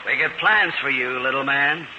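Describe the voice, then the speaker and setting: A man's voice: mid-range voice, moderate pace, lightly acted. An actor playing a curt, sneering underworld gunman in a radio crime drama. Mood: menacing. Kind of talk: old-time radio acting. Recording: dull, hissy old radio recording.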